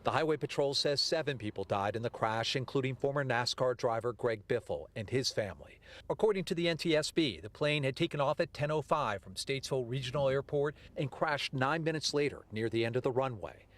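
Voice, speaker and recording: A man's voice: medium pitch, animated, brisk, a bit bright. An American man reporting live for TV news from outdoors at an airport.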